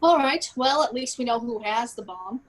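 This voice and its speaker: A woman's voice: on the high side, expressive, normal speed, poor audio. A woman playing a brash, foul-mouthed female secret service boss, sarcastic and scolding, in a comedy script read. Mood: exasperated.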